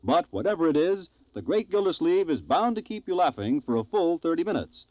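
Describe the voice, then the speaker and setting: A man's voice: fairly high pitch, sing-song, brisk, no room sound. A man announcing in the dramatic style of 1950s American network radio. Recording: vintage radio, phone-like band, music behind.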